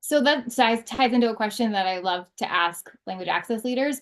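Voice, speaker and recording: Woman interview host, medium pitch, chatting with a guest. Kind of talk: conversational interview.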